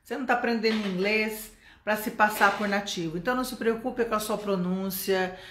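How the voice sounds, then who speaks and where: A woman's voice: mid-range voice, steady tone, brisk, fairly bright tone, reverberant, a little hiss or hum. A Brazilian woman teaching English in a live stream, chatty and encouraging, often checking in with her viewers. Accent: Brazilian Portuguese.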